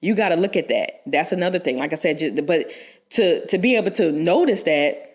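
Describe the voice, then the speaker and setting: A woman's voice: lowish voice, lively intonation, a little fast, darkish tone. A young woman co-hosting a podcast, stuffed up from a cold, rambling through advice with many restarts and fillers. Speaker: podcast co-host. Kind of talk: casual advice chat.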